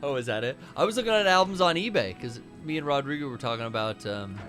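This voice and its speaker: Lively man swearing at a video game. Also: medium pitch, fast, soft background music. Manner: animated, swearing, trash-talks game enemies. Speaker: co-host playing a video game. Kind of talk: casual livestream chat and gameplay.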